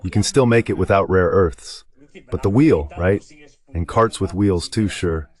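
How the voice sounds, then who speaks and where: A man's voice: sits low, measured pace. A man giving conversational political commentary in an emphatic, repetitive, colloquial way, as a synthetic translation voice-over.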